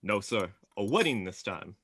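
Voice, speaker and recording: A man acts out a cheeky, gossipy country postman for a radio play. He is folksy and pleased with himself, his pitch bouncing about, recorded close and dry. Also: sing-song, normal speed, fairly bright tone, slightly rough, no room sound, heavily acted. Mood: cheerful, boastful. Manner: folksy, garrulous, cheerful. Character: chatty, cheeky, self-important village postman Gilly.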